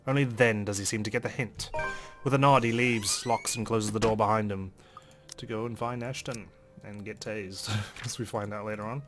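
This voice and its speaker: A man's voice: on the low side, steady tone, normal speed. A man reading a visual novel aloud as a let's play host, in a dramatic first-person narration style. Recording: music under the voice.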